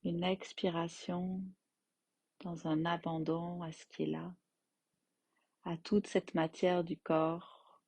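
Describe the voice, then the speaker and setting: Very slow, soft-edged woman guiding meditation. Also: on the low side, little pitch movement, slightly rough, no room sound. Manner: gentle, unhurried phrasing with long pauses. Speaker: yoga nidra teacher guiding relaxation. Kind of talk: guided meditation, slow soothing instruction.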